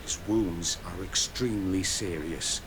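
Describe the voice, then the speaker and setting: Weary, guarded garrison captain, low-pitched, formal and resigned, game dialogue over clear background noise. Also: male voice, measured pace. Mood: weary grief. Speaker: garrison captain character in a role-playing video game. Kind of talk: video game voice acting.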